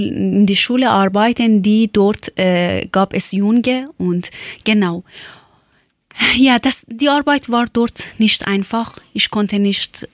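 A woman from Iran with a Persian accent, speaking German as a second language and telling a personal account haltingly, often searching for words. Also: medium pitch, no echo, studio quality. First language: not German. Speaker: former teacher telling her life story.

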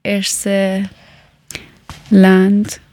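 A woman from Afghanistan, chatting casually and often pausing to search for words. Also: medium pitch, completely flat delivery, darkish tone. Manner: searches for words, many pauses and fillers. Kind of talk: casual conversation.

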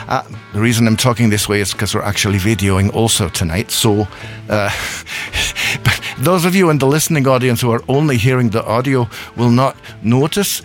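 A man's voice: medium pitch, fairly bright tone. A man from Scotland hosting a live radio show in a hot studio, chatting loosely with frequent chuckles and restarts, with faint music. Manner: rambling, chuckling, self-correcting. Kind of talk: casual live radio chat.

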